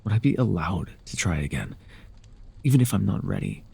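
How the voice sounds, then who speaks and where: Deep, gravelly, breathy lonely fallen god acted by a man. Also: animated, dark tone, exaggerated voice. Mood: mournful, brooding. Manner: slow, halting, brooding delivery with sighs. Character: forgotten lonely male former god, sorrowful and wounded. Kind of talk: ASMR audio roleplay monologue.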